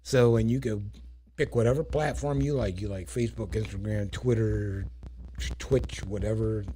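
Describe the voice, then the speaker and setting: A man with a smooth baritone voice co-hosting a talk show, laid-back and easygoing. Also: normal speed. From Ohio, USA. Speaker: singer and co-host. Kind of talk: casual show chat. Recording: very echoey room with loud music.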